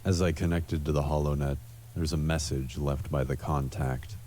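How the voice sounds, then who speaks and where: A man playing a world-weary, cynical cyberpunk antihero in a deadpan noir monologue for an adventure game. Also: rumbling low, moderate pace, background noise. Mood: weary, guarded. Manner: deadpan, brooding.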